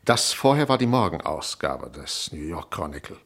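A man's voice: a bass voice, big pitch swings, normal speed, fairly bright tone, heavily acted. A man acting in a German radio play as a formal, attentive and deferential manservant.

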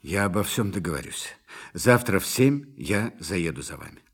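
A calm, tactful psychologist in a radio drama. His voice is deep, and he talks in a measured, quietly probing and reassuring way. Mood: reassuring, candid. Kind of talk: radio play acting.

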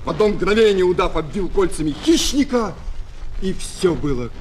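Animated male storyteller. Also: sits high, moderate pace, a little hiss or hum. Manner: expressive, theatrical. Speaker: narrator. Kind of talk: dramatic children's story narration. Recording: old flexi-disc, music behind.

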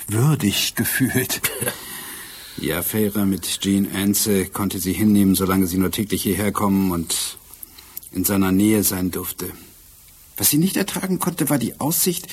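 An actor playing a shrewd, self-assured police inspector in a crime radio drama, explaining in a measured, dryly ironic way. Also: male voice, lowish voice, husky. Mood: matter-of-fact.

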